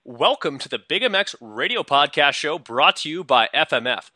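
A man's voice: on the high side, sing-song, normal speed, sharp tone, no room sound, clean studio sound. A man hosting a radio podcast, interviewing a guest in a loose, rambling way with many fillers and restarts. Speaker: podcast host. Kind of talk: casual interview.

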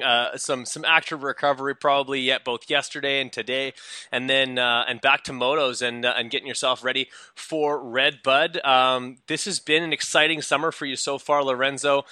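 A man's voice: mid-range voice, pushed and bright, no room sound, studio quality. A man hosting a radio podcast, interviewing a guest in a loose, rambling way with many fillers and restarts. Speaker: podcast host. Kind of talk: casual interview.

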